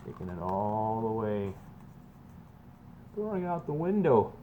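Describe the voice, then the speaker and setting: Man reading aloud over clear background noise. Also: fairly low pitch, very animated, slow pace, narrow, tinny audio. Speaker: teacher reading aloud to students. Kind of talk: children's picture-book read-aloud with casual asides.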